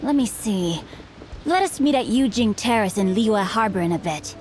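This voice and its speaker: A woman's voice: mid-range voice, lively intonation, normal speed, a little mellow, slight background noise, natural voice. A woman voicing a bookish, chivalrous teenage boy swordsman in a video game, speaking in a formal, eloquent, earnest way. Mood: composed. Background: game music.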